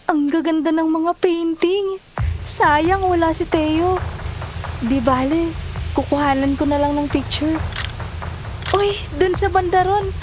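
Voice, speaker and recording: A woman's voice: very high-pitched, takes it slowly, long pauses. A woman playing a curious young female student in an audio drama, with music behind her. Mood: awed.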